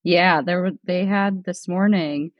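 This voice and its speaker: A woman's voice: fairly low pitch, measured pace, no echo, dull audio. A woman co-hosting a casual chat podcast, laid-back and drawling, asking her co-host questions.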